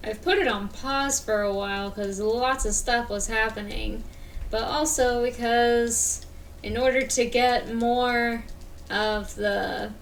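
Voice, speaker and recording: High-voiced woman streaming a game. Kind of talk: casual live commentary and reading aloud. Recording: distant mic with background noise.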